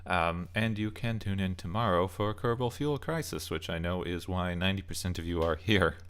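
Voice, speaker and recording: Low-voiced man giving a casual opinion rant. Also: normal speed, fairly bright tone. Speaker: video game channel host and commentator. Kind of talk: casual opinion rant about game movies.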